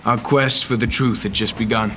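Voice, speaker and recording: A man's voice: medium pitch, steady tone, moderate pace, bright, forceful tone, slight background noise, close to an everyday voice. A young man playing a tough, dry private detective with clipped delivery in a noir radio drama. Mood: firm, resolute. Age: young adult. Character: hard-boiled male private detective, tough, dry, determined. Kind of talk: 1940s radio noir acting.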